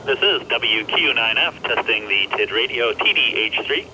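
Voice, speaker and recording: A man's voice: mid-range voice, lively intonation, normal speed, sharp tone, low-quality recording. A man making a test call as an amateur radio operator, heard through a radio. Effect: radio transmission. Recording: radio audio with faint noise.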